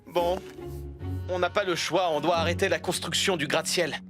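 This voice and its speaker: A voice actor playing a calm, instructive site boss in a French cartoon dub. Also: mid-range voice, moderate pace, close to an everyday voice. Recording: cartoon soundtrack with loud music.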